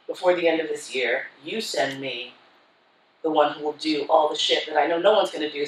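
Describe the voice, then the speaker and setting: A woman giving a keynote talk to a live audience, telling her story in a frank, profane and comic way. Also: deep voice. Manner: frank, profane and comic, acting out her own past lines. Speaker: keynote speaker. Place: conference hall. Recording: roomy hall, voice from far off.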